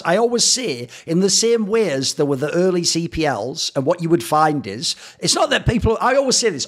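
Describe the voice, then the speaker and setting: A man hosting an esports interview, talking emphatically in long run-on questions, with headset and boom mic at home. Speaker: interview host. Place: home room, indoors.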